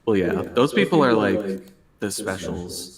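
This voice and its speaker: Man, low voice, blunt, crude banter, echoey, faint noise. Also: animated, a little slow, distant mic. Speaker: raid member on voice chat. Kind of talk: casual gaming voice chat.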